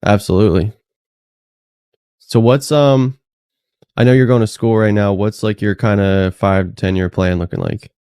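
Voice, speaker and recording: Deep, flat-voiced late-20s male host. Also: normal speed, long pauses, smooth, dry recording, studio quality. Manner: relaxed, encouraging, says "dude" and "like" often. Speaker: podcast host. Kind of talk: casual interview conversation.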